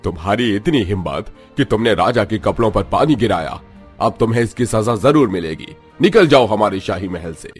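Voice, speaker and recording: Man acting a haughty, imperious deep-voiced king. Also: expressive, moderate pace, soft background music, natural voice. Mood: angry, commanding. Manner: imperious, commanding. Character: haughty, hard-hearted male king. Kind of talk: Hindi cartoon dialogue.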